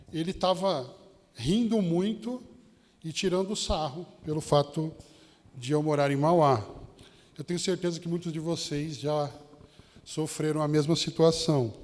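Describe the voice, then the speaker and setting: A man with a São Paulo Brazilian accent preaching a sermon as a Baptist pastor, addressing his listeners directly with rhetorical questions and anecdotes. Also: fairly high pitch. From São Paulo state, Brazil. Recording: long hall reverb.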